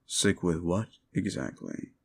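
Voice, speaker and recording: A man playing a cruel, sneering demon in an ASMR roleplay, sardonic and condescending, with a gravelly voice. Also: low-pitched, sing-song, slow, full character voice. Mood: smug, bargaining. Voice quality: gravelly, creaky. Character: cruel, sneering male demon bargaining for wishes. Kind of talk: scripted ASMR roleplay addressed to the listener.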